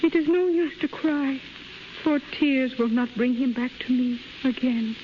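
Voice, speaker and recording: An actress playing a gentle, heartbroken young Japanese wife in a 1930s radio drama, speaking slow, halting, simple English with resigned dignity. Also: female voice, high-pitched, background noise. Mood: resigned sorrow. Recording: muffled 1930s radio recording, music behind.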